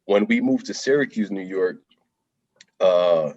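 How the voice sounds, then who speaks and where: A middle-aged man from Jamaica, a contractor guest telling his life story candidly in an interview, soft-spoken with frequent ums and throat clearing. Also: deep voice, animated, unhurried, no echo, highs cut off.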